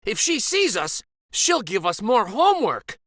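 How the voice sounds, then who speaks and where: A man voicing excitable, unruly schoolchildren in a storybook, with eager exclamations. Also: sits high, measured pace, no room sound, studio quality, voice pushed up, exaggerated voice. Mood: worried.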